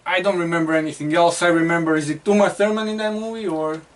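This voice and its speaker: Casual co-host, a man with a high voice who rambles and restarts, a little far from the mic. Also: steady tone, fast talker. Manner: rambling, many fillers and restarts. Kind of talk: casual movie review chat.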